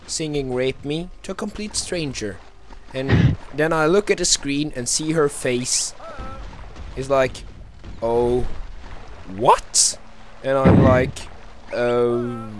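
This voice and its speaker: A young man telling anecdotes in casual chat, halting and jokey. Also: on the high side, slight background noise. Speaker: co-host of a casual gaming video.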